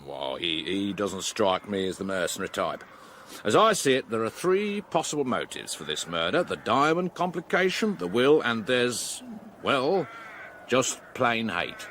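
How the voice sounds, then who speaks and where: An actor with an English accent playing a plain-spoken, methodical police superintendent in a radio drama. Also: male voice, on the low side, very animated, unhurried, fairly bright tone, a little hiss or hum. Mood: musing, reasoning. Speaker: actor in a detective radio play. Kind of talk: British radio drama acting.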